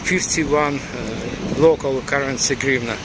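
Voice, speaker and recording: A man from eastern Ukraine with a Ukrainian accent, giving a halting live walking tour of city streets with many fillers. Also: medium pitch. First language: Russian. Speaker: livestream tour guide. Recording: loud traffic noise outdoors. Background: loud street and vehicle noise.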